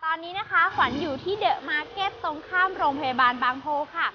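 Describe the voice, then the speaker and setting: A woman's voice: very high voice, lively intonation, quick pace, muffled recording, noisy background. A young woman hosting a television show, presenting in a polite, chirpy scripted style. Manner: polite, chirpy, frequent soft polite particles. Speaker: TV show host. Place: inside a busy supermarket.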